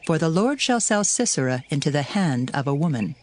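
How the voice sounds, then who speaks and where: A woman's voice: low-pitched, very animated, fairly quick. A woman playing a resolute biblical prophetess and judge in a solemn, declamatory scripture drama. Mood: resolute, prophetic.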